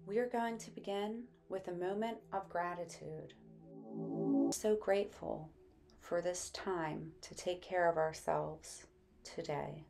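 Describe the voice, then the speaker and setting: A woman narrating a guided meditation in a gentle, soothing, unhurried manner. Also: on the low side, fairly even intonation, vocal fry, music in the background.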